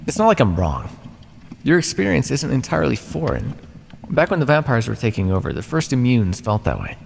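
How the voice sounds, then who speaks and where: A man voicing an ancient, smug and menacing vampire captor in an ASMR roleplay, taunting and patronising with deliberate theatrical pauses. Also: mid-range voice, big pitch swings, creaky. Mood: condescending, menacing. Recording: long reverb, noisy background.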